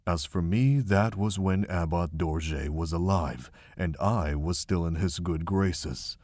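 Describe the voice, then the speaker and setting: Low husky voice, middle-aged monk played by a man, gentle, measured, regretful, wise, visual novel drama. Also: dry recording, studio quality. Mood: confiding. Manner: measured, gentle, reflective storytelling. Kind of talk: fan visual novel voice acting.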